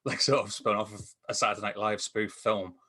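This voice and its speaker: Man, low bright voice, casual film chat, halting delivery. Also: fairly even intonation. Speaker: film review podcast panellist.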